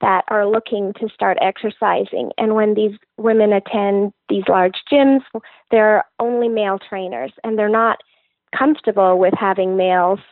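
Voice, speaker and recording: A woman's voice: medium pitch, steady tone, moderate pace, no echo. A woman fitness trainer answers interview questions with earnest enthusiasm, laying out her methods step by step. Her voice comes through a thin, phone-like line, dry and close with no room sound.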